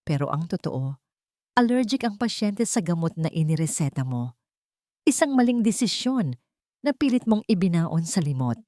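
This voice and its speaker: A woman's voice: fairly low pitch, sing-song, moderate pace, warm tone, no echo, clean studio sound. A woman voicing a cold, scheming young woman in a dramatic audio story, icy, calculating and menacing. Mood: icy, threatening. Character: cold, scheming young woman blackmailing her former lover.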